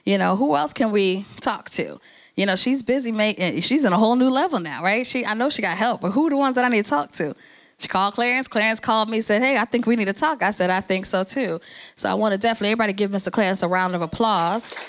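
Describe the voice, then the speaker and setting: A woman from New Jersey speaking as an organization's president at a networking event. She talks in a casual, conversational way and keeps rallying and encouraging her listeners. Her voice sits at an ordinary pitch. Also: fast talker. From New Jersey, USA.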